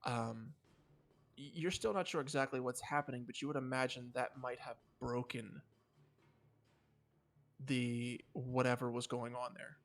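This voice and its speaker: A man's voice: mid-range voice, steady tone, a little slow, long pauses. A man narrating a tabletop game as game master in a casual, loose, improvised way. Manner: casual, swearing.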